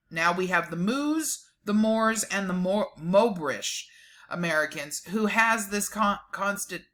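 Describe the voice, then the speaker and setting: A woman giving a dramatic, deliberate reading of a document, with emphatic delivery and casual sardonic asides. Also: fairly low pitch, fairly bright tone.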